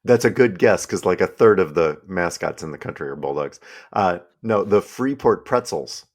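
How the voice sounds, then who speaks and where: Raspy-voiced man on a podcast. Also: sits low, expressive, normal speed. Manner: joking, laughs often, many restarts. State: hoarse from yelling. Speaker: podcast co-host. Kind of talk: casual chat.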